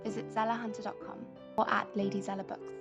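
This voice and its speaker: A woman's voice: medium pitch, level tone, fast talker. A woman delivering scripted promotional narration. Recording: dull audio under loud piano music. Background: piano music.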